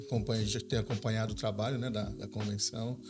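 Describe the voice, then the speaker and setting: A man in his forties with a São Paulo accent, a pastor telling his life story in a relaxed, chatty podcast conversation, laughing easily. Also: lowish voice, fast, over music. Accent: Paulistano Brazilian Portuguese. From São Paulo, Brazil. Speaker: pastor and seminary director.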